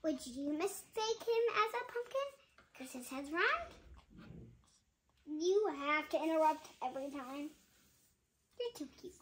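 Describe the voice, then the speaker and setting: A woman's voice: high voice, pushed and bright. A young girl reads a picture book aloud at bedtime in a bedroom, giggling and chatting between lines, filmed on a nearby phone. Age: child. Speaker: child reading a bedtime story. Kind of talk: reading a picture book aloud, slow and sing-song. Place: bedroom at home, indoors.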